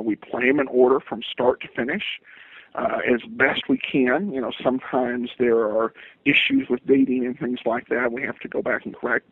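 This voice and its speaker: A man's voice: medium pitch, slightly rough, no room sound. Man on a muffled phone-like line. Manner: halting, rambling delivery. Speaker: podcast host, interviewed guest. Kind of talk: conversational interview answers.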